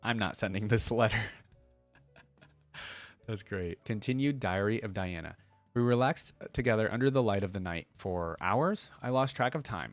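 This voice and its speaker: A man with a fairly low voice hosts a reaction video. His delivery is informal and conversational, suited to reading lore aloud and adding casual asides. The sound is dry, with very little room in it. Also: no echo.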